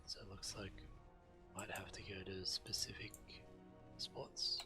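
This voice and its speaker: Male game streamer, low-pitched, casual commentary thought out aloud over clear background music. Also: level tone, a little slow, in a whisper. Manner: thinking aloud.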